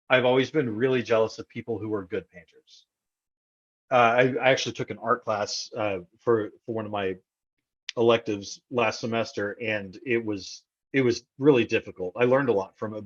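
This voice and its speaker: A man's voice: lowish voice, fairly even intonation, moderate pace, dull audio. An American man from Texas talking casually as an interview guest, often repeating words as he thinks aloud. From Texas, United States.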